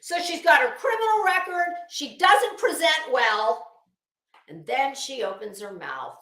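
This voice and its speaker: A woman's voice: high-pitched, expressive, a little slow, sharp tone, echoey room. A woman doing casual livestream commentary as host, blunt, salty and emphatic, with a disdainful edge.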